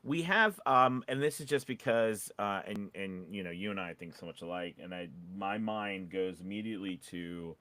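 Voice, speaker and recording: A man with a fairly low voice talks through business advice casually, as a podcast coach would. He is animated but halting, restarting and checking in with short questions. The sound is very dry, with no room echo. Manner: animated, halting, often checks in with "right?" and "okay?". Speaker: podcast host and voice-over coach.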